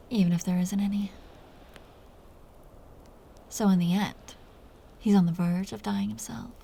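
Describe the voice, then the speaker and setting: Dominant, predatory female vampire played by a woman for intimate audio drama: amused, softly condescending, unhurried, whispery with a creaky edge. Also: mid-range voice, fairly even intonation, heavily acted. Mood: musing. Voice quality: breathy, whispery. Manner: slow, teasing, softly condescending.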